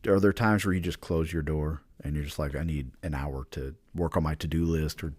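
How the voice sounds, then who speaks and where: Super deep chill dude on a podcast, warm dark tone, says "like" a lot, dry mic. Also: male voice, fairly even intonation, a little fast, slightly rough, dry recording. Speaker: podcast co-host. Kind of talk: casual chat.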